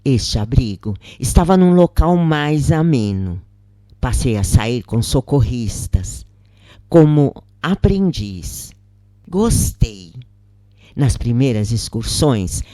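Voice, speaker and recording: A narrator reading a scripted first-person account in measured phrases with pauses. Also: medium pitch, warm tone, no room sound.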